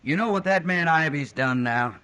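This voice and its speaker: A man's voice: fairly high pitch, lively intonation, moderate pace, pushed and bright. A man playing an honest, stubborn mule driver with a rural American accent, plain-spoken, in an old-time radio western. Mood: indignant. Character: honest, stubborn mule-driving freight hauler.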